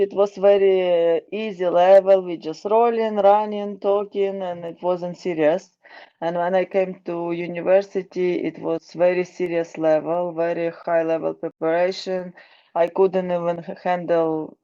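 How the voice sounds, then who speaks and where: A woman in her thirties with a Ukrainian accent, talking in an interview. Her voice is gravelly and she speaks slowly in a flat, even tone. She stops and restarts often, and the recording sounds dull. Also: on the low side. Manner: halting, with restarts and fillers. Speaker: guest, wrestling coach. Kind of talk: casual interview answers.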